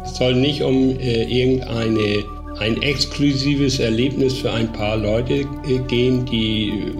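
A man giving a spontaneous interview about production work, with halting phrasing. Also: mid-range voice, steady tone, music in the background.

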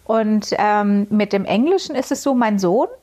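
A woman's voice: mid-range voice, animated, moderate pace, dark tone. A woman whose first language is Azerbaijani, a cabin chief and recruiting expert, chatting casually on a podcast and rambling with many fillers.

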